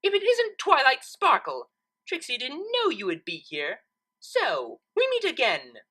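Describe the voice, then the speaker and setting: A boastful female unicorn magician from a cartoon fan story, acted out by a woman reader. The delivery is pompous and theatrical, with a bright, forceful edge and big swoops in pitch. She refers to herself grandly in the third person. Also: wildly swooping pitch, measured pace, exaggerated voice. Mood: smug surprise.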